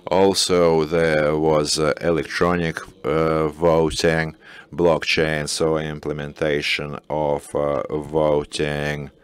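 A man giving simultaneous interpretation at a panel, hesitant, with filled pauses and restarts. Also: very deep voice, monotone, smooth. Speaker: conference interpreter.

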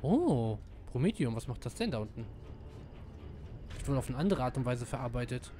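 A man giving casual live gameplay commentary, excitable and slangy, dropping in English gamer words. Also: medium pitch, moderate pace. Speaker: let's player.

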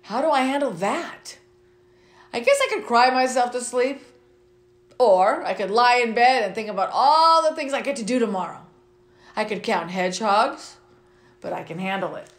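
Higher-voiced woman reading in an echoey room. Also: animated, normal speed. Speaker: school vice principal reading to students. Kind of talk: children's picture book read-aloud. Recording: roomy, echoing sound.

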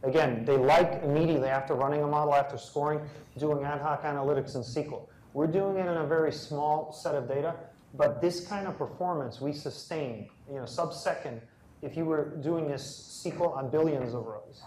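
A man's voice: a little high, moderate pace, a little mellow. Male co-presenter making emphatic points, recorded in an echoey lecture hall. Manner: emphatic, chiming in. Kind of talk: live tech meetup talk. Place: large lecture room with audience.

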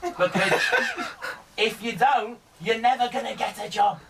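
A man's voice: very high-pitched, measured pace, creaky, fairly bright tone, distant mic, a little hiss or hum, exaggerated voice. A man playing a camp, flamboyant male psychic, cooing "darling" at callers in a radio comedy bit. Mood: mock-mystical. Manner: camp, mock-mystical, coos "darling" and "sweetheart". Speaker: studio guest psychic. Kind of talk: improvised comedy character on radio.

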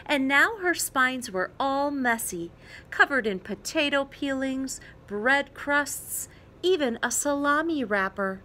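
A woman reading a children's picture book aloud as storyteller, with expressive emphasis and dramatic pauses. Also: fairly high pitch, a little hiss or hum.

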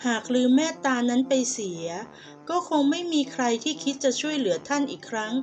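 A woman reading a fable aloud as a storyteller, in a calm, measured manner. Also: high voice.

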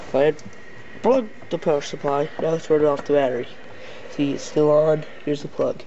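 A man giving a casual, halting, step-by-step hands-on walkthrough as a vlogger, recorded on a handheld camera. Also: fairly high pitch. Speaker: vlogger showing a homemade CB radio setup. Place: backyard and indoors beside the equipment. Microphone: handheld camera near the speaker. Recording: dull lo-fi camera audio, loud noise.

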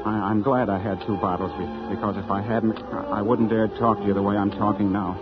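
A man playing a tipsy, lovestruck young gentleman suitor in 1940s radio drama, eager and a little rambling. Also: lowish voice, flat delivery, fairly quick, lightly acted. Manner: hesitant, rambling, eager pleading. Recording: muffled 1940s radio broadcast, music behind.

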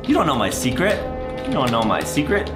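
A man's voice: medium pitch, wildly swooping pitch, fairly quick, reverberant. A young American man giving exaggerated, childlike gameplay commentary for kids, dramatic and repeating himself. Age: young adult. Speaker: gaming video host. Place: indoor recording room. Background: music.

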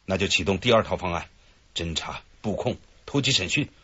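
An actor playing a cheerful, boastful detective leading a stakeout in a radio drama, with a husky voice. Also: male voice, sits low, expressive, a little fast, fairly bright tone, dry recording, muffled recording, full character voice. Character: cheerful, boastful middle-aged male police detective. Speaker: police detective leading the operation. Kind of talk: broadcast radio drama acting.

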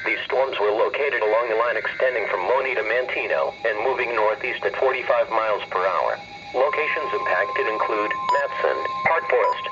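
A synthetic male announcer formally reading scripted emergency weather warnings in a measured way. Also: mid-range voice. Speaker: automated weather radio announcer. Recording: muffled radio speaker, loud hiss.

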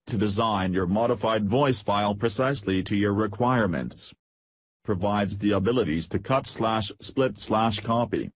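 A man reading scripted promotional narration for a software demo, announcing headings and lists in short segments. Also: lowish voice, dry recording. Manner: reads feature lists in short headed segments.

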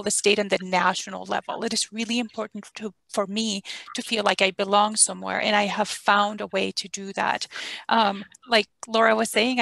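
A woman's voice: medium pitch, gravelly, fairly bright tone, dry recording. A woman from Puerto Rico, an architect on a panel, speaking in a candid, easygoing way over a video call headset. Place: office with window.

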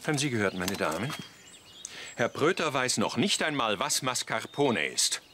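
An actor playing a fussy, courtly middle-aged gourmet in a radio comedy, pompous, flowery and polite. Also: male voice, medium pitch, big pitch swings, moderate pace, sharp tone, a little hiss or hum. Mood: proud. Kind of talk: radio comedy drama acting.

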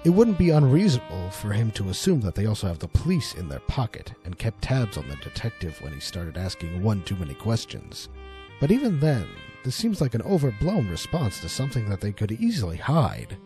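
A man narrates a suspenseful story in a theatrical style. His voice is fairly low and mellow, and it rises and falls dramatically. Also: huge pitch swings, brisk, soft background music.

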